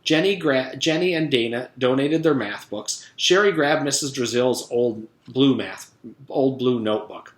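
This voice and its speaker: A man's voice: medium pitch, measured pace, roomy sound. A man reading a children's storybook aloud like a teacher, expressively and speaking directly to young listeners.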